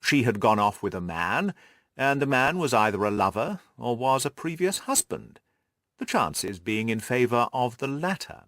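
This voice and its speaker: Guy doing a confident brainy gentleman detective for an audiobook, explains step by step, expressive mid voice. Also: medium pitch, moderate pace, no room sound, studio quality, close to an everyday voice. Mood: analytical, assured. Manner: precise, methodical, didactic explaining. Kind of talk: audiobook character dialogue.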